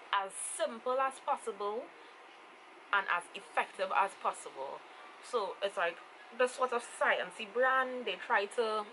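A woman's voice: medium pitch, bright, forceful tone. A young woman in her twenties with a Trinidadian accent, giving a casual makeup review to camera. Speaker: beauty vlogger. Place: small room indoors. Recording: clearly noisy home camera recording. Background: clear ambient noise.

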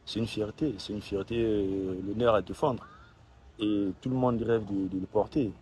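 A young man, a footballer giving quiet, casual interview answers and repeating short phrases. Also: on the low side, fairly even intonation, moderate pace. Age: young adult. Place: outdoors by a hotel pool.

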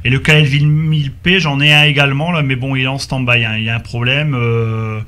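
A man chatting casually and haltingly as an amateur radio operator, heard over a two-way radio. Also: mid-range voice, flat delivery, pushed and bright. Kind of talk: casual radio group chat. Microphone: radio microphone.

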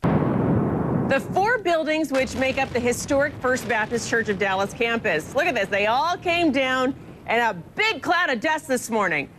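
Female TV news anchor, high-pitched, very varied intonation. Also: expressive, measured pace, noisy background. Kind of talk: television news reading. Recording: loud music under voice.

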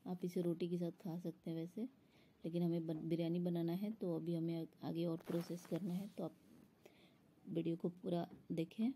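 A woman's voice: on the low side, little pitch movement, moderate pace, dark tone, a little hiss or hum. A woman explaining a recipe step by step in an instructional voice-over, as a home cook. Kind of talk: step-by-step cooking tutorial voice-over.

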